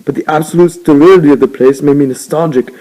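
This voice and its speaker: A man's voice: on the high side, fast, dark tone, slight background noise, poor audio. A man narrating a novel aloud as an amateur reader.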